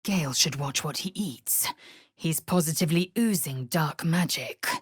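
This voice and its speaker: An actress voicing a fierce, proud githyanki warrior woman in a video game, husky and breathy, with a clipped, imperious, disdainful delivery. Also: low-pitched, normal speed, no room sound. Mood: blunt, measured. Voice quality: husky, raspy, breathy edge. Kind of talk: video game character voice acting.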